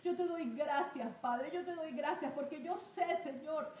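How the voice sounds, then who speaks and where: A woman's voice: high-pitched, measured pace, a little mellow, lo-fi sound. A woman leading a fervent prayer and exhortation, speaking emphatically and repetitively with frequent invocations. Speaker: prayer leader preaching to an online audience. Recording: roomy echo, faint background noise.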